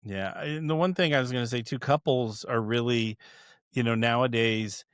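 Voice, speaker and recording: A man's voice: mid-range voice, normal speed, smooth, dry recording, studio quality. A middle-aged man hosting a podcast, rambling with stammers and fillers. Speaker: podcast host. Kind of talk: casual interview chat.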